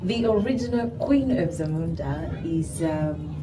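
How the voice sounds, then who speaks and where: Woman, Ugandan accent, young adult, lowish voice, distant mic, noisy background. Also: unhurried. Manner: animated, sprinkled with "you know". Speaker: gospel singer and guest. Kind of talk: interview conversation.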